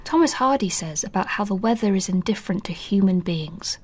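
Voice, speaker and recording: An actress playing a middle-aged wife and mother in a radio drama, earnest and anxious, thinking aloud in a soft voice. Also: medium pitch, expressive, fairly quick, breathy edge.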